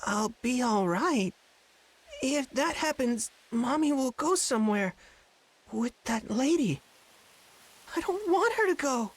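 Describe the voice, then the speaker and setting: Little boy, video game: high, childlike voice, unhurried and hesitant, timid and attached. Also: animated, exaggerated voice. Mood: worried. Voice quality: childlike, small voice. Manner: simple, hesitant childlike phrasing. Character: timid, clingy little boy playing hide and seek.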